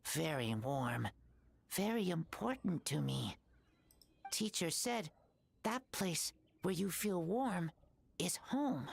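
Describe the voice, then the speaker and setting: This is an earnest wolf-raised boy in an anime game. The voice is low and gravelly, moving slowly through short broken phrases with lively rises and dips. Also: exaggerated voice. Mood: earnest, tender.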